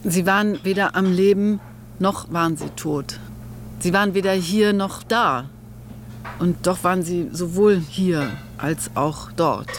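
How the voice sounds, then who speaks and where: An actress in a radio play as a reflective adult recalling childhood memories in a measured monologue. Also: female voice, on the low side, slight background noise, lightly acted. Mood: solemn.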